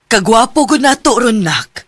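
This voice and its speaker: A woman playing a middle-aged mother who gently teases her son in a radio drama. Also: a little high, sing-song, a little slow, soft background music. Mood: fond.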